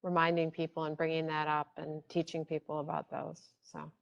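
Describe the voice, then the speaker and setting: A woman moderating a webinar conversationally, with an emphatic but halting way of putting her points. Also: low voice, monotone, gravelly, lo-fi sound.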